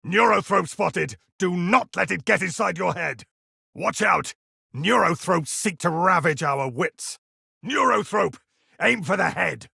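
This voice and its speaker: Stern righteous space marine, raspy, slow delivery, game voice lines. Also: male voice, high voice, expressive, bright, forceful tone, no room sound, clean studio sound, exaggerated voice. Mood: urgent warning. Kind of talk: video game combat voice lines.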